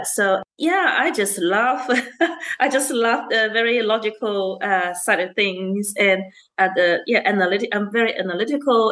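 A woman's voice: medium pitch, a bit bright. A woman, a teacher answering interview questions in a hesitant way, with many fillers and restarts and frequent laughs. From Malaysia. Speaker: STEM teacher and councillor.

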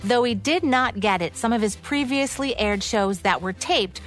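A woman's voice: on the high side, moderate pace, a bit bright, soft background music. A woman co-hosting a radio program, reading scripted history narration as a presenter. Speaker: radio co-host.

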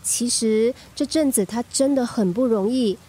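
Radio drama granddaughter, high-pitched, sweet-talking and teasing. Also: female voice, normal speed, dark tone, husky. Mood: coaxing. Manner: teasing, coaxing. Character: playful, sweet-talking young granddaughter, caring and cheeky. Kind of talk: Chinese radio drama acting.

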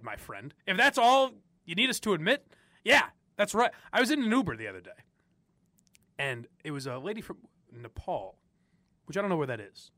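A man's voice: high-pitched, sharp tone, no echo, studio quality. A young man from the area, hosting opinionated talk radio and ranting with frequent laughs. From Boston area. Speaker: sports radio host.

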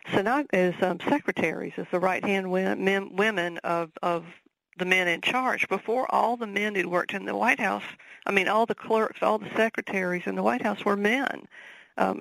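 A woman's voice: medium pitch, vocal fry, fairly bright tone, dry recording, poor audio. A woman with a Southern accent, an author speaking as a radio interview guest, thoughtful and full of fillers and restarts.

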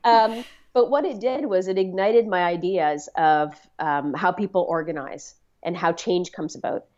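A middle-aged woman from Canada who talks in a low, earnest voice. She often hesitates and repeats words as she reflects on her story. The sound is slightly muffled and lo-fi. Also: normal speed, a little mellow. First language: Swahili. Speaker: interview guest. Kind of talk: reflective conversational interview.

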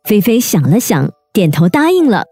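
A woman's voice: mid-range voice, normal speed, breathy edge, dry recording. A woman hosting a children's story podcast, telling tales expressively and speaking directly to young listeners. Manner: animated, addresses children directly with questions. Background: children's music.

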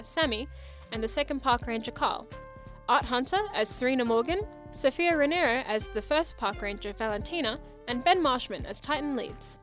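A woman reads out podcast credits from a script. Her voice is high, and soft music plays underneath. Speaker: podcast co-creator reading credits.

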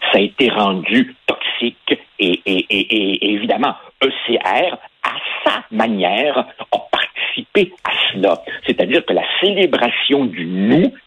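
A man's voice: lowish voice, expressive, a little slow, a bit bright, slightly rough, dry recording. A man whose first language is Spanish, speaking Quebec French as a passionate radio commentator who repeats words while building his points. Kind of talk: opinion talk on radio.

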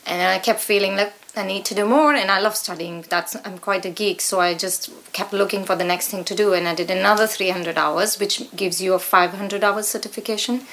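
A woman's voice: medium pitch, fairly even intonation, brisk, few pauses, fairly bright tone. A middle-aged woman with an Indian accent, talking conversationally as an interview guest. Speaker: guest, yoga teacher.

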